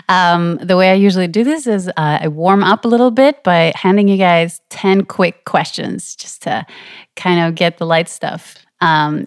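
A woman's voice: lowish voice, clear, resonant tone, dry recording, clean studio sound. A woman hosting a music podcast, reading an upbeat scripted intro and then chatting casually with her guests. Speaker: podcast host.

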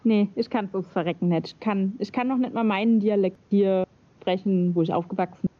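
A woman in a casual group talk, relaxed and a little hesitant. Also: medium pitch, fairly quick, phone-quality sound. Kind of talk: casual chat.

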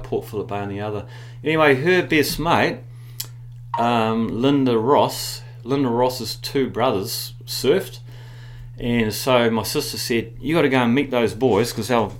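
Middle-aged kiwi guy, low voice, rambly animated yarns, lots of ums, faint hum behind. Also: normal speed. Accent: New Zealand. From New Zealand. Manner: rambling, animated storyteller with many fillers. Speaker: guest. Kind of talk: casual storytelling interview.

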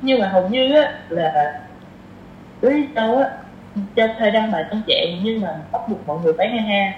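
A woman's voice: medium pitch, animated, fairly quick. Young woman, southern vietnamese accent, chatty podcast guest, drops english slang, echoey room w slightly muffled sound. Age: 20s. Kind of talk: casual conversational interview. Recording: roomy, dull sound with faint noise.

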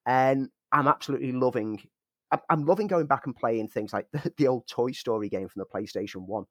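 A man's voice: medium pitch, fairly quick, dry recording. Guy on a podcast, rambly and self-mocking, bit of a cough, dry close mic. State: lingering cough. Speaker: podcast co-host. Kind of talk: casual podcast chat.

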